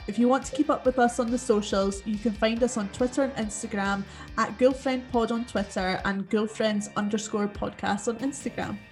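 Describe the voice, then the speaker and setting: A woman's voice: on the high side, moderate pace, rough recording. Laid-back woman co-host, self-mocking and giggly, faint music behind. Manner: relaxed, self-mocking, laughs a lot. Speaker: podcast co-host. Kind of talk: casual chat.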